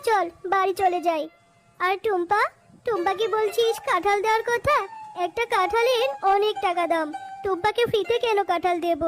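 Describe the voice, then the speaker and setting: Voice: very high-pitched, moderate pace, soft background music. An actor voicing a stingy, haughty tigress mother in a children's cartoon, curt and grudging. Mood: scornful.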